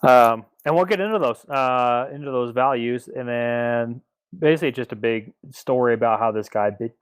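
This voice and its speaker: A man's voice: mid-range voice, normal speed, smooth. A man casually leading a book club discussion on a podcast, in an informal conversational style.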